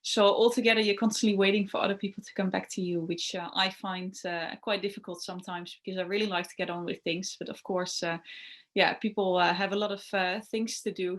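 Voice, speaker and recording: A woman's voice: fairly low pitch, steady tone, a little fast. A young woman whose first language is Dutch, answering interview questions about her job in a hesitant way, with frequent fillers and restarts. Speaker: translation rights executive.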